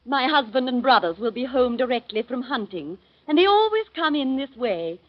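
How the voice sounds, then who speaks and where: An actress playing a chatty, refined middle-aged aunt, in 1930s radio acting style. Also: female voice, high voice, lively intonation, moderate pace. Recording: muffled old radio recording.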